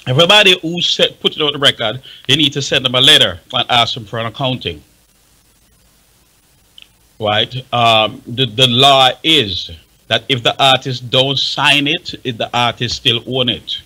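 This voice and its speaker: A man's voice: mid-range voice, normal speed, bright, forceful tone, no echo, poor audio. An impassioned man with a Jamaican accent speaking emphatically and repeating himself in a heated radio discussion. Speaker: talk show panelist.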